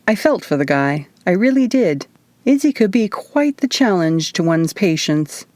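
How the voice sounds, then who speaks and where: A woman's voice: fairly low pitch, sing-song, measured pace, darkish tone, dry recording. A woman narrating a first-person story as an author reading her own audiobook.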